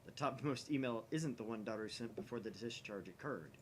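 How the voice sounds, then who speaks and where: A man voicing a grandiose young mad scientist in a visual novel, declaiming theatrically with pompous, dramatic pauses. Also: mid-range voice, a little hiss or hum, lightly acted. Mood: triumphant.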